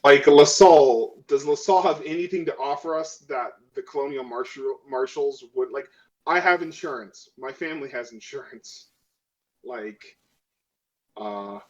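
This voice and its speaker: A man's voice: medium pitch, moderate pace, a little mellow, natural voice. A man playing a skeptical, self-interested crew member in a tabletop role-playing game, arguing emphatically on a dull microphone.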